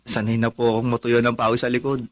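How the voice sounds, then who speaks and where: A man's voice: mid-range voice, monotone, fast, dry recording, natural voice. A man playing a poor, long-suffering, devoted son in a Tagalog radio drama, speaking earnestly and respectfully. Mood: humble.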